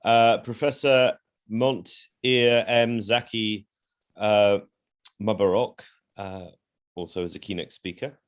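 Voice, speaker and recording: A man's voice: on the low side, steady tone, takes it slowly, clear, resonant tone. A man giving hesitant, apologetic closing remarks on a video call, full of fillers and small laughs. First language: not Indonesian. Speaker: co-founder presenting certificates. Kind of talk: informal webinar remarks over video call.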